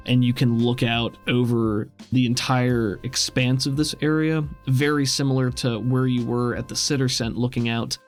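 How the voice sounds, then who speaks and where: A man running a tabletop role-playing game as game master, narrating scenes in a descriptive, cinematic way. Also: mid-range voice.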